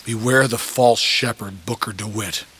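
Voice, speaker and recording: An actor playing an elderly, zealous prophet villain in a video game, preacherly and deliberate, with grandiose menace. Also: male voice, lowish voice, fairly even intonation, fairly bright tone, a little hiss or hum, close to an everyday voice. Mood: solemn prophecy. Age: older. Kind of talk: dramatic video game voice acting.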